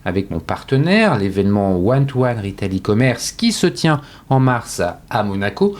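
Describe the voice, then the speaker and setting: A man hosting a podcast interview, talking with lively, expressive intonation. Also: mid-range voice. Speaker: podcast host. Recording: roomy echo.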